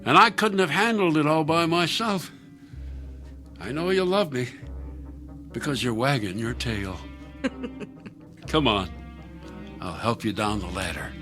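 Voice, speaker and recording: A man playing a steady, loving alien countryman with folksy rustic phrasing in a radio drama. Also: medium pitch, measured pace, long pauses, music in the background, close to an everyday voice. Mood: fond, teasing. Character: blind, many-eyed alien countryman, steady loving husband.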